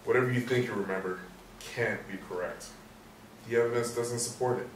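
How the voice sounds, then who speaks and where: An actor playing a courteous, steady police sergeant in a stage play reading, measured and matter-of-fact. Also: male voice, medium pitch, lightly acted. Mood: sceptical, firm. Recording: roomy echo, distant mic, faint noise.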